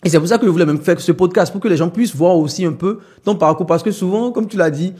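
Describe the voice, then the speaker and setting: A male podcast host with a somewhat high, mellow voice that carries a breathy rasp. He speaks in relaxed, slangy French, with plenty of casual fillers. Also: fast. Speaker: podcast host, business content creator.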